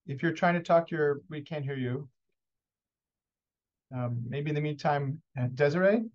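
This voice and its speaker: A man's voice: on the high side, measured pace. A man hosting a video call, calling on people by name in brief, polite turns. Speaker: host. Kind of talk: moderating introductions on a video call. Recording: muffled video call audio.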